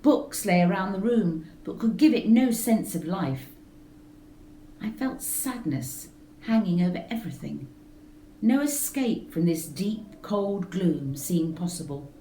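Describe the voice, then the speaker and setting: A woman reading a story aloud in a slow, measured, expressive way. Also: on the low side, a little hiss or hum. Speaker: reader. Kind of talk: storybook narration. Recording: roomy echo with faint music.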